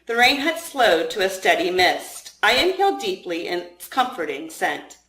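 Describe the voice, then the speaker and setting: Expressive woman reading in an echoey room. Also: mid-range voice, moderate pace. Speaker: author reading own novel aloud. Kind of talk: expressive first-person story narration. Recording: roomy, echoing room sound.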